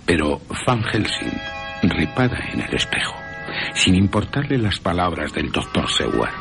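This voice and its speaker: Very deep, slow, theatrical Spanish storyteller man. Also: sing-song, vocal fry, music in the background. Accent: Spanish from Spain. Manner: theatrical, suspenseful, with long pauses. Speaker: radio drama narrator and presenter. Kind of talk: dramatic scripted horror narration.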